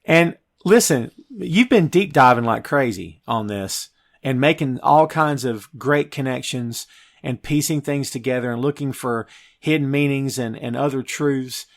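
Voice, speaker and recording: A man's voice: mid-range voice, measured pace. A man hosting a podcast, recapping a film in an informal, emphatic storytelling way. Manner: informal, emphatic storytelling, addressing co-host as dude. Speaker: podcast host. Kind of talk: casual film recap and discussion.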